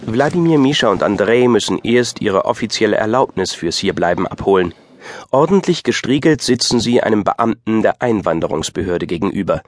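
A man's voice: on the low side, lively intonation, brisk. A man narrating an audio drama from a script in a dryly wry storytelling manner. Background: music.

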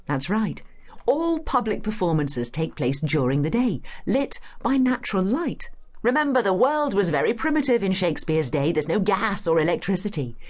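Animated British woman tour guide. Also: mid-range voice, moderate pace. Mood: informative, encouraging. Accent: British English. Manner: expressive, clear explanatory delivery, teacherly. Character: knowledgeable, encouraging female tour guide to Shakespeare's London. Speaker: guide and narrator. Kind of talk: scripted dramatised educational audio guide.

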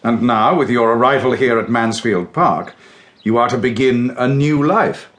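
A man's voice: medium pitch, normal speed, fairly bright tone, close to an everyday voice. An actor playing a stern, formal middle-aged baronet and father in a period audio drama, with an authoritative manner. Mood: formal, measured.

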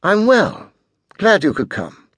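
A man's voice: sits high, huge pitch swings, slow pace, fairly bright tone, breathy edge, no echo, pitched above the performer's own voice, full character voice. A man voicing a haughty, precocious teenage boy genius with a pompous, precise, formal way of speaking. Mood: polite.

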